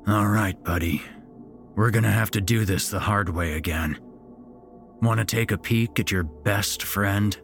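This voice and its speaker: A man's voice: on the low side, measured pace, creaky, soft background music. A man voicing a sinister, falsely cheerful old grandfather with a folksy, chipper manner that turns menacing. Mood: cold.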